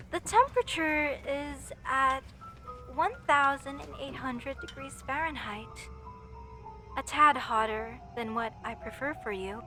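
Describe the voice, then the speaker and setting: A woman playing an obsessed, lovesick mortician in a horror audio roleplay, speaking tenderly and deliberately with sweet menace. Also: sits high, pushed and bright, exaggerated voice. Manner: slow, tender, deliberate, theatrical. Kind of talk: horror roleplay monologue addressed to listener.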